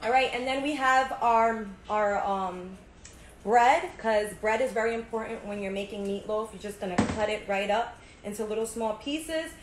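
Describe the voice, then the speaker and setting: A woman in her thirties talks through a home recipe step by step, chatty and relaxed, often asking "right?". Her voice sits high for a woman. She is recorded on a phone in a home kitchen that rings with echo, with steady background noise behind her. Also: moderate pace. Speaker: home cook host. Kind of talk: casual cooking demo. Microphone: phone camera at arm's length.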